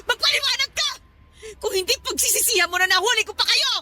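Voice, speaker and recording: An actress playing a betrayed young wife in a radio drama, furious, wounded and confrontational. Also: female voice, very high voice, lively intonation, quick pace, background noise. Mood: furious, hurt. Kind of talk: Filipino radio drama acting.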